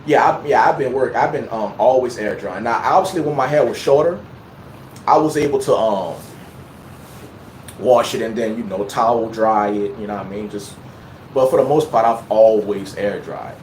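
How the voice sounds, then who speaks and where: A man's voice: on the low side, normal speed, darkish tone, echoey room, a little hiss or hum, rough recording. A man from Louisiana with a light Louisiana accent, chatting casually on a livestream and answering viewer questions in a rambling, laid-back way full of fillers.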